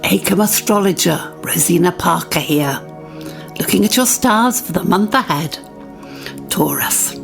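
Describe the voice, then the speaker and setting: An actress playing a local astrologer, giving a measured, scripted horoscope reading. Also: female voice, fairly low pitch, expressive, gravelly, soft background music. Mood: measured, knowing.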